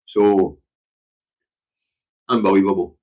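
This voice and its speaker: Man, Glaswegian Scottish accent, lowish pitch, husky, blunt, opinionated, conversational livestream commentary. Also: steady tone, slow pace, muffled recording. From Scotland. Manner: blunt, emphatic, sweary, conversational. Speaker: livestream current affairs show host. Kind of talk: opinionated casual live commentary.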